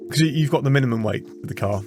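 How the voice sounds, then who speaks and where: A man's voice: medium pitch, racing, music in the background. A man, a guest engineer, talking casually in a rambling, self-correcting way with frequent restarts and easy laughs. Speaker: guest, former F1 designer. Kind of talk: casual interview chat.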